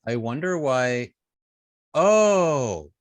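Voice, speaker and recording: A man's voice: mid-range voice, big pitch swings, drawn-out words, no echo. A man teaching an informal hands-on coding lesson, thinking aloud hesitantly and trailing off mid-sentence. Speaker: web design instructor.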